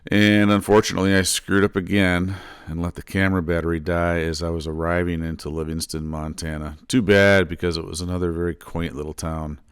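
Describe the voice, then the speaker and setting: A man recording a travel vlog voiceover, telling the day's ride in a plain, relaxed way while his voice is a little scratchy. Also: low-pitched, a little fast, hardly any pauses, clear, resonant tone, no echo, clean studio sound. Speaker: motorcycle travel vlogger. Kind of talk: voiceover narration.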